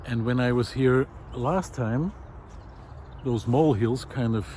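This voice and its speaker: Thoughtful man outdoors, clear background noise. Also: mid-range voice, mellow. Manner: hesitant, thoughtful, pausing mid-sentence. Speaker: presenter of a nature and philosophy video series. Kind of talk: unscripted reflective explainer to camera. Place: outdoors in a park.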